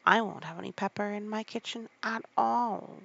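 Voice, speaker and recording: A woman's voice: medium pitch, normal speed, gravelly, fairly bright tone, lo-fi sound. A woman voicing a polite, curious little girl in a storybook read-aloud. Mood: musing. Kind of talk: sleepy read-aloud of a children's classic.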